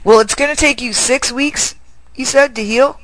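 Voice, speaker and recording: A man's voice: very high voice, moderate pace, crowd noise, rough recording. A man with a Northern US accent, interviewing in a casual chat and laughing often.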